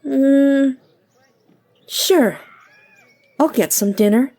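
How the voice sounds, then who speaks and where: A woman's voice: high voice, very slow, dark tone, exaggerated voice. A woman playing a guarded, skeptical young Navy veteran in an audio drama, dry and curt in manner. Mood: softening, weary.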